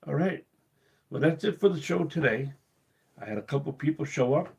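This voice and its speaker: A man hosting his cruise channel live, losing his voice and talking in a halting, casual way with many pauses and restarts. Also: fairly high pitch, lively intonation, a little mellow, a little hiss or hum. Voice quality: husky. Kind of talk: casual live-stream talk.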